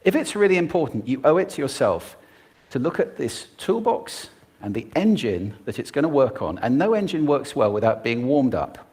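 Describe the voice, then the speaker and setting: Lively, expressive male speaker. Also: medium pitch, normal speed, breathy edge. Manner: expressive, demonstrating vocal techniques. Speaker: public speaker. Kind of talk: prepared talk on stage.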